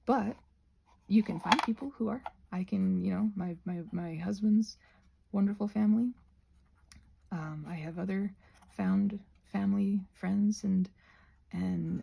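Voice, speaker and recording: A woman rambling casually to viewers as she crafts, in a halting, digressive way that often doubles back on itself. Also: lowish voice, creaky, dark tone, a little hiss or hum. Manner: halting, digressive, self-interrupting. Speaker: craft and ASMR video creator.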